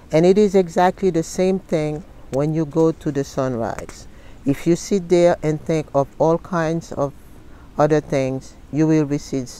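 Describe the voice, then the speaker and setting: A woman in her sixties speaking in an earnest, deliberate and sometimes halting way, recorded outdoors. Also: deep voice, warm tone. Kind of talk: personal testimonial and reading aloud from a book. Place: outdoors in a leafy garden.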